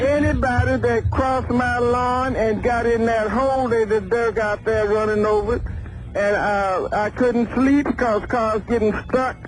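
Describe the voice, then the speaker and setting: A woman's voice: on the high side, fairly even intonation, moderate pace, music in the background. A woman talking casually as a phone-in guest on a radio show, feisty, blunt and plain-spoken. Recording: noisy phone call on old radio.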